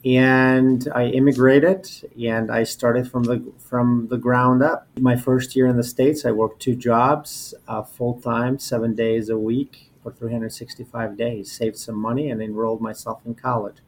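A man in his forties from Albania, a native Albanian speaker, talks conversationally about himself as an interview guest. His pitch is middling for a man, and he tends to restart and repeat words. There is noticeable noise behind his voice. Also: little pitch movement, a little slow, few pauses. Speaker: interview guest, corporate lawyer. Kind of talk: conversational personal storytelling.

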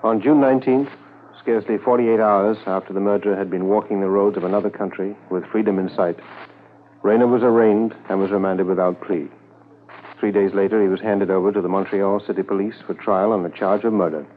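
An actor narrating a 1950s radio drama as a sober, reflective Mountie, measured and formal. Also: male voice, on the low side, steady tone, phone-quality sound, a little hiss or hum, close to an everyday voice. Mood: grave, factual.

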